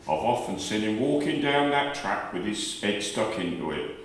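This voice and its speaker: Radio drama: actor as courteous, kindly male neighbour, lively intonation, echoey distant sound. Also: lowish voice, normal speed. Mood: curious. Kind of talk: radio play acting. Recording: echoey room, far from mic.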